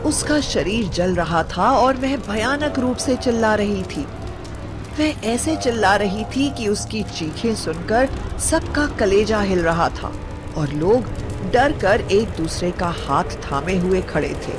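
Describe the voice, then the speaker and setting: Woman telling a scary story, real slow and dramatic, music playing under her. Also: medium pitch, animated. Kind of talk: scripted horror story narration. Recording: music bed behind the voice.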